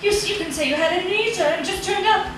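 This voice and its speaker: A very high-pitched, frightened wife in an old-time radio thriller. She sounds anxious and guilty, pleading as she goes. The recording is heavily echoing and distant, with clear noise. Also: fast. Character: frightened, guilt-ridden wife faking widowhood in an insurance fraud. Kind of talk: 1940s radio acting.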